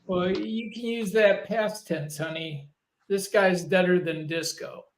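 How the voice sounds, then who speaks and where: A performer playing a gruff, folksy small-town male sheriff with a colloquial, sarcastic manner at an amateur table read. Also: mid-range voice, slow pace. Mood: folksy, dismissive.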